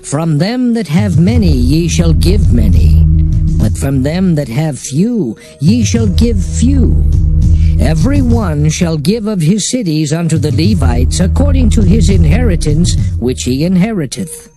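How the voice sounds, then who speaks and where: A man's voice: on the high side, very animated, a little slow. A man narrating dramatized scripture in a solemn, declamatory manner. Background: music.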